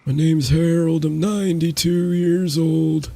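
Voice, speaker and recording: A man voicing a frail ninety-two-year-old man who introduces himself in a high, wavering voice. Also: fairly even intonation, unhurried, gravelly, mellow, no echo. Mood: earnest.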